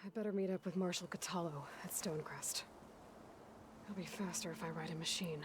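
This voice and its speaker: A woman voicing a focused young adventurer in a video game, muttering quietly to herself. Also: on the low side, music in the background, lightly acted. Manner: hushed, quick self-talk. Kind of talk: video game character voice acting. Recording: game audio with loud ambient sound.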